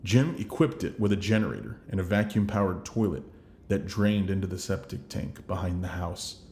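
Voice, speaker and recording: Low-voiced man reading scripted narration. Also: normal speed, darkish tone, a little hiss or hum. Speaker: voice actor. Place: home recording setup.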